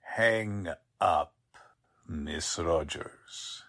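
Slow, menacing villain played by a man. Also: low-pitched, fairly bright tone, a little hiss or hum, heavily acted. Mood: cold menace. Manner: slow, deliberate, threatening. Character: violent menacing male con artist posing as a Hungarian count. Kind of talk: 1940s radio drama acting.

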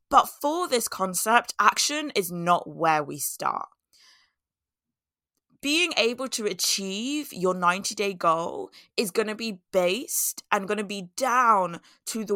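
A woman hosts her podcast in a chatty, self-mocking way, her voice hoarse and scratchy from a cold. Her tone is bright and forward. The sound is dry and close, with no room around it. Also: medium pitch, no echo. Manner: chatty, laughs at herself, repeats key points. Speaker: podcast host. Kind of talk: casual solo podcast talk.

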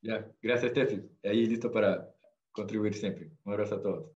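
Low-voiced Brazilian man, halting Spanish. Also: a little mellow, highs cut off, soft background music. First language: Portuguese. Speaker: podcast guest, amateur triathlete. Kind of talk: casual conversational storytelling.

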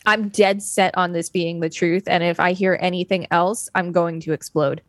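A woman's voice: lowish voice, fairly even intonation, moderate pace. A woman co-hosting a podcast, chatting casually and reading an article aloud. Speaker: podcast co-host.

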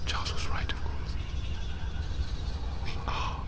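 A man acting a haunted, resigned father giving a hushed, deliberate confession in an audio drama. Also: noisy background, natural voice. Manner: hushed, breathy, slow and deliberate. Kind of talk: dramatic monologue, radio-log sign-off. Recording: audio drama with clear background ambience.

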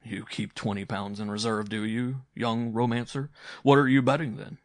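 A man's voice: medium pitch, flat delivery, moderate pace, no room sound, clean studio sound, close to an everyday voice. A man playing a jovial, teasing uncle in a story, hearty, amused and a little patronising.

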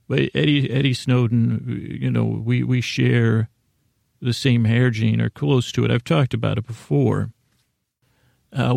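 Male podcast host, very gravelly mid-pitched voice, meandering and self-effacing comic monologue, halting delivery. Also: a little mellow, no room sound, studio quality. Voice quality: gravelly, creaky. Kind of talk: rambling comedic monologue.

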